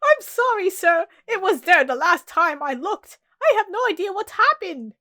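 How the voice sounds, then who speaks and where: A woman's voice: very high-pitched, sing-song, moderate pace, bright, forceful tone, dry recording, studio quality, pitched above the performer's own voice, full character voice. A woman voicing a nervous, stammering palace cook who is hesitant and apologetic, in a storybook reading. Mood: panicked, apologetic. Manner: hesitant, flustered.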